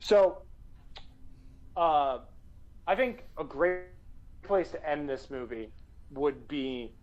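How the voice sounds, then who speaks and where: A man from Canada in a casual brainstorming chat, relaxed and easygoing. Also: fairly high pitch, slow pace, muffled recording. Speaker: co-host of a writing session.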